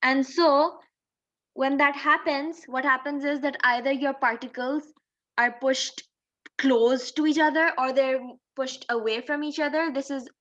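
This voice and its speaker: A woman's voice: high-pitched, fairly even intonation, unhurried, a bit bright. A woman teaching in an explanatory tutorial style, going step by step and posing questions as she explains. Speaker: physics teacher. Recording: dull lo-fi microphone sound.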